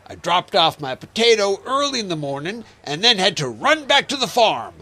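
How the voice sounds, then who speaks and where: Man playing a bright, defensive accused farmer. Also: sits high, sing-song, normal speed, full character voice. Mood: defensive, protesting. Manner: emphatic, protesting innocence, theatrical. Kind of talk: comic children's audio drama acting.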